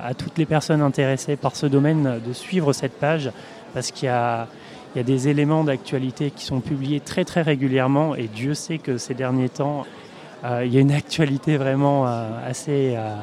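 A man with a mid-range voice answers questions at a trade fair. He speaks in careful, measured phrases and often hesitates, repeating words as he searches for the right one. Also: medium pitch, fairly even intonation, darkish tone. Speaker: in-house lawyer, guest. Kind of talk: event interview answers.

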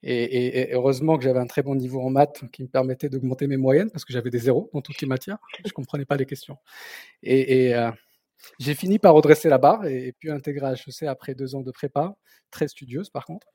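A man's voice: medium pitch, fairly quick, dark tone, no room sound, studio quality. A man in his thirties from southern Morocco, a native Berber speaker, telling his story hesitantly as an interview guest. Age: 30s. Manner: hesitant, repeating words as he searches for phrasing. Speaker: podcast guest, company founder. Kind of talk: casual autobiographical interview.

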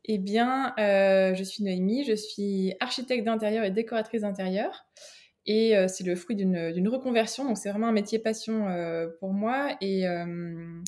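A woman from France with a French accent, an interior designer speaking as a guest, talking haltingly with many fillers and restarts. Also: mid-range voice, darkish tone. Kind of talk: casual interview.

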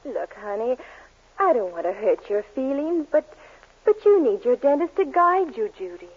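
Hesitant, husky young woman friend. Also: high voice, sing-song, moderate pace, heavily acted. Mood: reluctant, gentle. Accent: American. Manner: hesitant, confiding. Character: reluctant, tactful young woman advising her friend. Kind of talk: 1940s radio commercial acting. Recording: muffled, narrow-band 1940s radio recording.

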